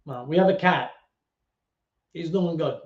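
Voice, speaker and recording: A man, telling stories as a guest in a casual interview chat. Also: fairly high pitch. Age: 30s. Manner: animated storyteller with quick asides. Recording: echoey lo-fi call audio.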